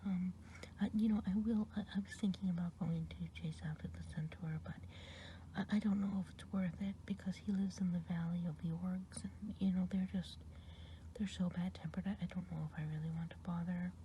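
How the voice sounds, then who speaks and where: A woman voicing a kind, gossipy good witch in an ASMR roleplay, whispering close to the mic and rambling with gentle hesitations. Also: fairly low pitch, fairly even intonation, brisk, slight background noise, close to an everyday voice. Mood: reassuring. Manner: whispered throughout.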